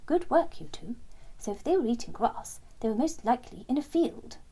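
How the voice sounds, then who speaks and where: A woman puts on a busy, confident male animal from a children's storybook. He talks brisk and emphatic, with a high, animated voice that organizes everyone around him. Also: slightly rough, in a whisper, exaggerated voice. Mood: pleased, decisive.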